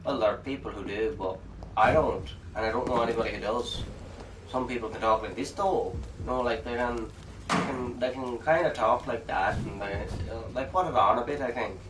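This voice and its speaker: A man's voice: mid-range voice, a little fast, distant mic, noisy background. Rambling joking Irish-born streamer man. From Ireland. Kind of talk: casual gameplay commentary. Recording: roomy, off-mic, game music behind.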